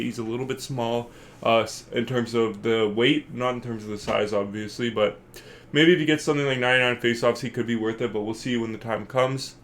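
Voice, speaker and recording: A congested man hosting a gaming channel, giving casual, rambling commentary full of fillers as he thinks aloud. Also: medium pitch. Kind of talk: casual video game commentary.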